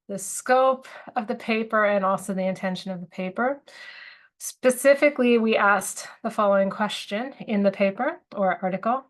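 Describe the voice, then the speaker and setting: Woman, webinar lecturer, slightly sniffly from a cold, thoughtful, many ums and restarts. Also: mid-range voice. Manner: thoughtful, with frequent fillers and restarts. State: has a cold, a little sniffly. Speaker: academic presenter. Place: university.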